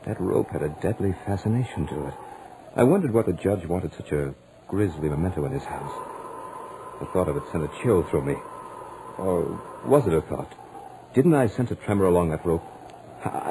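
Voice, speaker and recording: A deep-voiced, anxious horror-story narrator. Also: male voice, mellow, slight background noise, rough recording. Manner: dramatic, deliberate, suspenseful delivery. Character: anxious male student narrating his mounting dread in a haunted house. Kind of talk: 1940s radio horror acting, first-person narration. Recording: old 1940s radio recording, music behind. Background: dramatic musical score.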